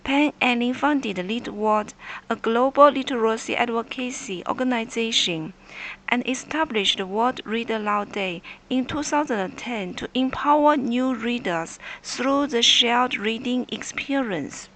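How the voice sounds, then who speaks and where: A woman's voice: on the high side, a little slow, fairly bright tone, poor audio. A woman reading a scripted informational narration. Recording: noticeable background noise.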